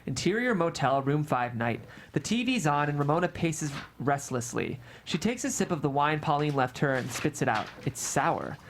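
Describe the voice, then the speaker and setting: A narrator reads screenplay stage directions at a table read. The voice sits at a medium pitch and moves with lively, wide inflection through the scripted action. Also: a little hiss or hum.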